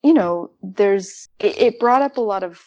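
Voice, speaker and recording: A woman's voice: medium pitch, lively intonation, moderate pace, dark tone, no room sound, lo-fi sound. A woman with an American accent, from rural Arizona, talking casually and reflectively about literature as a podcast guest. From rural Arizona, United States. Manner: chatty, reflective, laughs readily.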